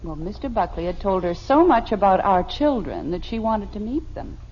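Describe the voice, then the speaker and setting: Lively, patient radio-sitcom wife. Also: female voice, medium pitch, moderate pace. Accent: American. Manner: placating, dryly teasing. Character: patient, sensible, gently amused wife and mother. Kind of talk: 1950s radio comedy acting. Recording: dull, noisy old radio recording.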